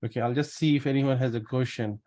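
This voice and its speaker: A man's voice: medium pitch, steady tone, fast, dark tone. A man hosting an informal live interview, asking questions and summing up, often repeating his words in agreement. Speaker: interview host.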